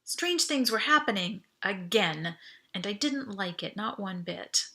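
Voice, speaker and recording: A woman reading a first-person story aloud as an expressive audiobook narrator. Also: mid-range voice, a little slow. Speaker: audiobook reader.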